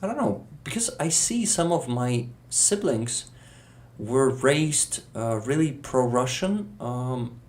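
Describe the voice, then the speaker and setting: Ukrainian man guest, slow deliberate English with a Ukrainian accent, halting, restarts often; unhurried pace, mid pitch. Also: animated, a little mellow. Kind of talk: casual interview conversation.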